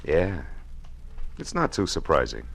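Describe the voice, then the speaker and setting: A man's voice: very deep voice, wildly swooping pitch, a little slow, creaky, loud music behind the voice, exaggerated voice. An actor playing a cynical hard-boiled private detective, telling his story in dry, wisecracking first person in an old radio drama. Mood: terse probing.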